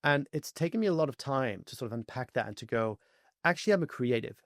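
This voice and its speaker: Fast-talking man with a gravelly voice. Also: mid-range voice, dry recording, studio quality. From France. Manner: fast, animated storytelling with many fillers. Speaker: podcast guest. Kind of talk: casual interview chat.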